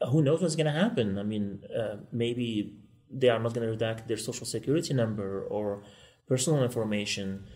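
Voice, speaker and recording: A man from Morocco, a law school guest, speaking quickly and earnestly in a podcast interview. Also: mid-range voice, warm tone.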